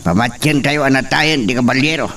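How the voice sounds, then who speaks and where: A man's voice: mid-range voice, fairly quick, a bit bright, recorded from a distance, lightly acted. A man playing a firm, commanding and protective father in an Ilocano drama, with a Filipino Ilocano accent. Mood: grave, decisive. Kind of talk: Ilocano radio-style drama acting.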